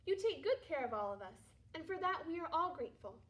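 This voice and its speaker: A woman's voice: high voice, sing-song, brisk, fairly bright tone. A woman reading a children's storybook, voicing a polite, earnest young girl who speaks respectfully. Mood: apologetic. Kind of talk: children's storybook read-aloud.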